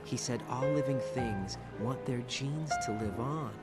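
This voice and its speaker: An actor voices a young male soldier in a game cutscene, earnest and soul-searching. His voice sits at a medium pitch and has a tender manner. Loud music runs over the dialogue. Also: measured pace, natural voice.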